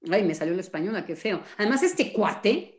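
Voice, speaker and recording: A Mexican woman talking in a casual discussion among colleagues, animated and ironic, with many hesitations. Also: mid-range voice, a little fast. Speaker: discussion participant.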